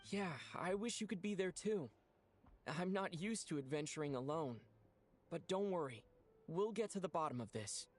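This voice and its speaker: Calm, thoughtful young male adventurer voiced by a man. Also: fairly high pitch, slight background noise, natural voice. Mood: gently reassuring. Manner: measured, reflective. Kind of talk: video game character dialogue.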